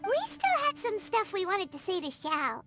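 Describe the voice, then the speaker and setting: A woman voicing a small, excitable childlike fairy companion in a video game, with a squeaky piping voice. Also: normal speed, exaggerated voice. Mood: explaining. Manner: speaks of self in third person. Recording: game dialogue under loud background music.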